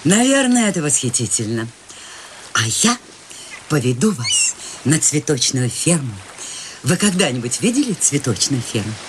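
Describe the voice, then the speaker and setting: A woman's voice: deep voice, big pitch swings, normal speed. An older woman actor playing an eccentric, cheerful, life-loving old lady in a radio play, playful and musing as she savors her words. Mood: dreamy delight. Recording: clearly noisy radio play recording.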